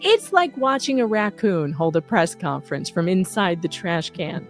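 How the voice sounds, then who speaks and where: A woman's voice: on the low side, expressive, normal speed. Sarcastic woman reading over music. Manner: mocking, theatrical sarcasm; often laughs while reading. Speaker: host reading an open letter. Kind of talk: sarcastic scripted commentary read aloud.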